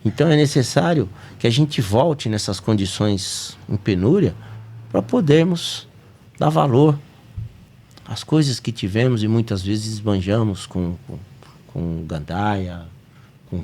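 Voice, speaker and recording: A man's voice: fairly low pitch, slow, a little mellow. A Brazilian man with a Brazilian Portuguese accent hosting a spiritist study podcast, talking earnestly and rising to emphatic peaks. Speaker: podcast host.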